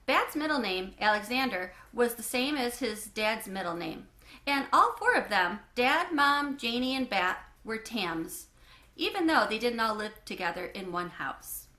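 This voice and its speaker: A woman narrating a children's book in an expressive, animated way, with clear phrasing. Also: fairly high pitch, unhurried, reverberant. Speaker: read-aloud host.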